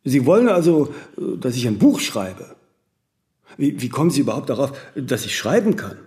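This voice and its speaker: Actor as animated, witty, vain celebrity raconteur. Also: male voice, mid-range voice, moderate pace, close to an everyday voice. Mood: dismissive, ironic. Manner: coquettish, opinionated, drawling tag questions. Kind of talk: conversational German radio play acting.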